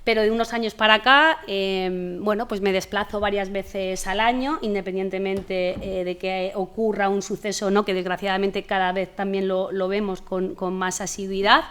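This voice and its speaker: A woman from Spain, a television journalist on a panel, speaking in a measured way with some fillers. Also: medium pitch, fairly even intonation, fairly bright tone, smooth. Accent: Spanish (Spain). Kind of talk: panel discussion.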